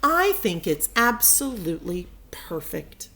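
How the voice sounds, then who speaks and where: A woman playing a gracious, poised music teacher, lively intonation. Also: fairly low pitch, takes it slowly, heavily acted. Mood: proud, gentle. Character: kind, poised female music teacher, gently encouraging.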